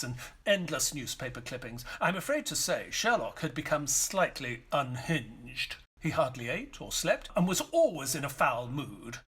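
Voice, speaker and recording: An actor playing a loyal, doubtful, long-suffering male companion and narrator in an audio play, measured and literate. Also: mid-range voice, sharp tone, husky, full character voice. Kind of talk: audio play acting and first-person narration.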